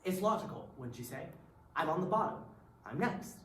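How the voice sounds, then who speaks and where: A woman's voice: lowish voice, animated, moderate pace, a bit bright. A woman acting in a readers theatre piece with rehearsed, theatrical delivery. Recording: roomy stage recording with background hiss.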